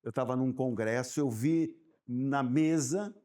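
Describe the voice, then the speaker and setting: Man, guest, warm dark tone, animated storyteller who stumbles over repeats. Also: medium pitch, takes it slowly. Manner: animated storyteller, repeats words while thinking. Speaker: guest business owner. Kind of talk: casual podcast chat.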